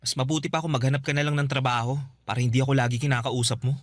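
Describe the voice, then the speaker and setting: Curt young Filipino man, rapid and resentful, acted. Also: mid-range voice, level tone, dry recording, studio quality, close to an everyday voice. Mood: irritated. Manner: curt, defensive. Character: resentful, guarded younger brother in his twenties. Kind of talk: Filipino radio drama acting.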